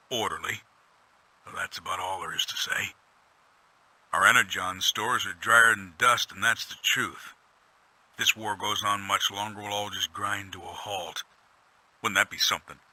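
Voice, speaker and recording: An actor playing a world-weary, gruff robot soldier in a video game audio log, a humble, plainspoken veteran with a folksy, stoic manner. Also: male voice, lowish voice, sharp tone, no room sound, rough recording. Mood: weary, wry. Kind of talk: scripted in-character audio log monologue.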